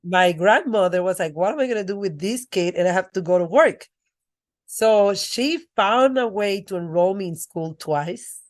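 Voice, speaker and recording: A woman's voice: mid-range voice, lively intonation, moderate pace. A middle-aged woman from the Republic, a podcast guest telling her life story earnestly, with restarts. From Dominican Republic. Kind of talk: podcast interview.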